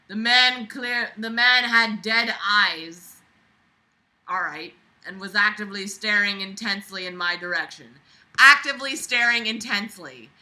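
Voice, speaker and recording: A woman with a very bright, forceful voice reads a book aloud and picks it apart as she goes. She is sarcastic and openly exasperated, swearing freely and breaking off into theatrical, scornful asides. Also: a little high, poor audio. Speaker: reader reviewing a book aloud. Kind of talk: reading a book aloud with exasperated running commentary.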